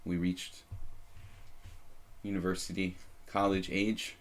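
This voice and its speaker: Deep-voiced man rambling over a chess stream. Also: level tone, slow pace. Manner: rambling, self-deprecating, many fillers and restarts. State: slight leftover cough. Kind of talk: casual live-stream commentary.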